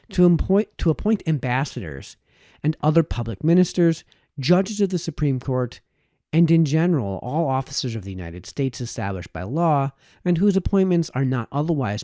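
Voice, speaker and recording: A man reading a formal historical essay aloud as an audiobook narrator, with measured, emphatic phrasing. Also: medium pitch, warm tone, no echo, clean studio sound. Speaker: audiobook reader.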